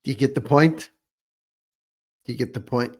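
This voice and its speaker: An Irish man delivers informal commentary at a middling pitch. He sounds scornful and sarcastic, and likes to repeat his phrases to drive them home. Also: normal speed, slightly rough. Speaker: online commentator, host.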